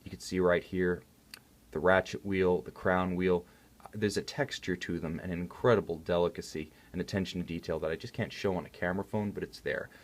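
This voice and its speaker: A man's voice: deep voice, fairly even intonation, brisk. A man presenting a product review in an articulate, enthusiastic way, with technical detail and emphasis on key words. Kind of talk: detailed product review commentary, semi-improvised.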